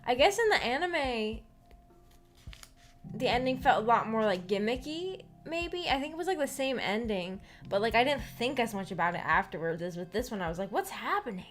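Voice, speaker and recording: A woman with a fairly high voice hosts a relaxed livestream. She talks informally to her viewers, giggly and rambling, often restarting her thoughts. Faint music plays behind her. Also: moderate pace. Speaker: livestream host of a visual novel book club. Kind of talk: casual livestream chat with viewers. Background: background music.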